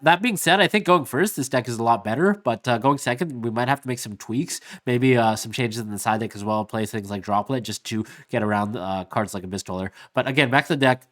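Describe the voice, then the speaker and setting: A man rattles through a casual card-game deck walkthrough at a brisk clip, his voice sitting at a middling pitch. He is sick and a little hoarse, sniffling between thoughts. His delivery is upbeat and loose, packed with "like" and "uh" fillers. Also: fairly bright tone. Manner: rambling, many "like" and "uh" fillers, upbeat. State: losing his voice, sniffly from illness. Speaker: YouTube host.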